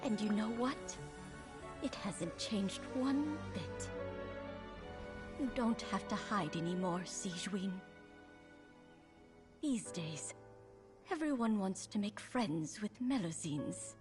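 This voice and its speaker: A woman's voice: mid-range voice, lively intonation. A woman voicing a kindly, tender, grateful old lady in a video game. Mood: grateful, reassuring. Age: older. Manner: tender, unhurried. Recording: music clearly behind the voice.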